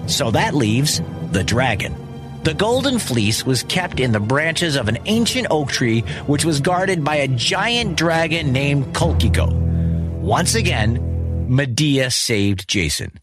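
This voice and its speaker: Animated male storyteller with music behind. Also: medium pitch, slow pace. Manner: wry, conversational, dramatic emphasis. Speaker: host and storyteller. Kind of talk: lively scripted storytelling for children.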